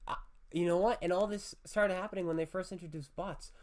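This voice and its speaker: A man's voice: sits high, steady tone, a little fast. A man hosting a casual podcast, joking about himself and floating offhand theories. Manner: joking, self-mocking, theorizing. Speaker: podcast host.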